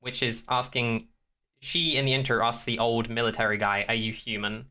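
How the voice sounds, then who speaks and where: A man with a mid-pitched, bright and forward voice, co-hosting a podcast. He talks casually and rambles a little, working out his opinions aloud as he reacts. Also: flat delivery, normal speed. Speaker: podcast co-host. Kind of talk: casual chat, giving reactions to a TV show.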